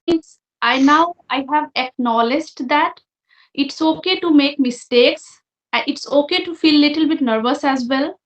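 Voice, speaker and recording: A woman's voice: sits high, measured pace. A woman from Assam speaks, talking candidly about learning English as her fourth language. Her audio comes through roomy and slightly dull, like a call. She sounds reflective and open. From Assam, India. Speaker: guest. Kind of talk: casual conversation.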